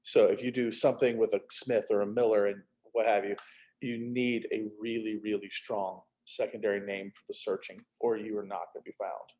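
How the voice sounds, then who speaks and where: A man's voice: fairly low pitch, flat delivery, a little fast, a little mellow, rough recording. A man giving conversational publishing advice in an emphatic, advisory manner. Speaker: publishing adviser, discussion participant.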